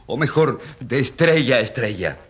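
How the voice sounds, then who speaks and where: An actor playing a rural priest on trial in a courtroom radio drama, questioning insistently and defending peasants with indignant irony. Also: male voice, medium pitch, expressive, moderate pace, natural voice.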